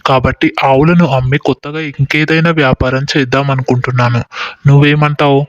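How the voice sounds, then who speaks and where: A man's voice: mid-range voice, steady tone, brisk, dull audio, close to an everyday voice. A man voicing an earnest, restless young husband with business dreams in an acted moral story. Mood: worried.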